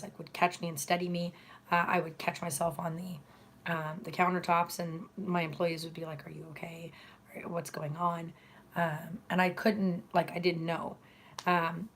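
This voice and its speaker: A woman hosting her own live stream, chatting casually with viewers, her voice hoarse from a recent illness, halting with many fillers and throat-clearing. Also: fairly low pitch. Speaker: channel host streaming live.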